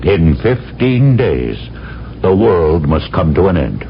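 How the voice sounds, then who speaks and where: An actor playing a grave, ominous general in a radio drama. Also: male voice, big pitch swings, slow, gravelly, full character voice. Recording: muffled vintage radio recording, faint noise, rumble.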